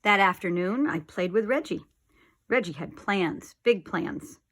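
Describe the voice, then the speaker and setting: Woman storyteller, medium pitch, teacher's read-aloud delivery with deliberate, expressive phrasing for children.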